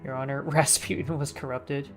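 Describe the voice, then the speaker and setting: A male streamer comments casually on a game in a slangy, joking way. His voice is mid-pitched, with faint music in the background. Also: fairly even intonation, moderate pace, creaky.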